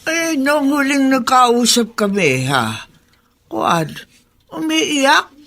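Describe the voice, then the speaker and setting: Slow, creaky old woman neighbour. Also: high-pitched, very animated, a bit bright, heavily acted. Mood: hesitant, puzzled. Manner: halting, hesitant, drawn-out. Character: elderly woman neighbour, gossipy but concerned. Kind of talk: radio drama acting.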